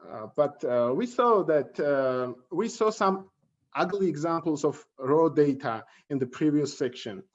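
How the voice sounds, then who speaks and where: A slightly muffled, low-fidelity recording of a male tutor presenting a lesson. His voice sits a little high for a man. He speaks with a halting delivery, often repeating and correcting words. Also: expressive. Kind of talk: instructional presentation. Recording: dull, lo-fi audio.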